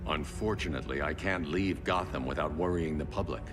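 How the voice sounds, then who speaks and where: A man's voice: extremely low pitch, a little fast, big-room sound, over music. An actor voicing a grim masked male vigilante leader in a game, speaking tersely and sternly.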